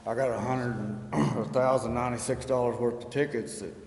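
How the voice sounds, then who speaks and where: A man giving unscripted public comment as a resident in a council chamber, halting, plain-spoken and resigned. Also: medium pitch, fairly even intonation, vocal fry, darkish tone. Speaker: resident speaking at a city council hearing. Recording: echoey hall, long reverb, faint noise.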